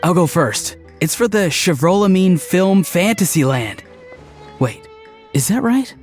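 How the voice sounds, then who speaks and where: A man's voice: high voice, expressive, a little slow, soft background music. Laid-back, quirky young guy. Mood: easygoing. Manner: casual, literal-minded. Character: laid-back, friendly, quirky young man with buddy-like banter. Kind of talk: video game voice acting.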